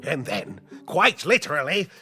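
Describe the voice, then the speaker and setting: A man voicing a fussy, theatrical gentleman bug with a pompous, florid way of declaiming in an audio drama. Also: on the high side, big pitch swings, measured pace, breathy edge, soft background music, exaggerated voice. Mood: excited, commanding. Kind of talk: audio drama cartoon acting.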